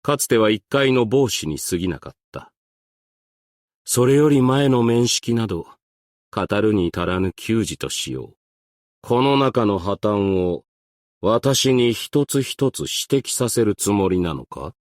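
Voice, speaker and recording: Deep, unhurried, stern ancient strategist. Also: male voice, lively intonation, a little mellow, no room sound, studio quality. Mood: calm, aloof. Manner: formal, archaic, measured, reserved diction. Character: Wang, composed, stern, ancient male strategist and Go master. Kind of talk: scripted game character voice lines.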